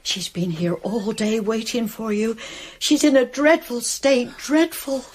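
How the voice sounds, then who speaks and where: An actress playing an excitable, loyal, fussing Victorian household maid in a radio drama. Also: female voice, on the high side, a little slow, slight background noise, natural voice. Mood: agitated.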